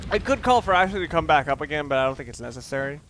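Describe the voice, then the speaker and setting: Male let's play commentator, voice a touch high, casual rambling delivery with loose swearing, heavy background noise. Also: animated, racing. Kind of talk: casual off-the-cuff gameplay commentary. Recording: loud constant background noise.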